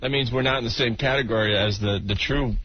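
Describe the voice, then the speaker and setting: Male talk radio host, aggrieved banter, medium pitch, faint tape noise. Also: quick pace. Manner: mocking, aggrieved. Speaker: radio show host taking calls. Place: radio studio. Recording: dull, noisy old radio tape.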